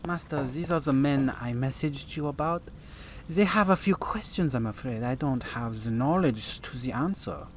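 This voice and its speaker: A man's voice: medium pitch, moderate pace, a little hiss or hum, lightly acted. A man playing a deferential, apologetic servant in a sci-fi audio drama. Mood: nervous deference. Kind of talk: full-cast sci-fi audio drama acting.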